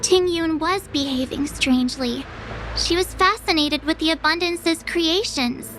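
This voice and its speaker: A woman's voice: very high-pitched, unhurried, fairly bright tone, soft background music. An actress playing an earnest, thoughtful young girl companion in a fantasy video game. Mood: troubled, thoughtful. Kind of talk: game dialogue.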